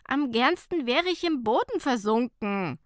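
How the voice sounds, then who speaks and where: A woman's voice: high voice, animated, a little slow, dry recording, clean studio sound, voice pushed up. A woman voicing an embarrassed, mock-indignant woman who is sceptical about men, in an audiobook. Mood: amused protest. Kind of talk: audiobook character dialogue.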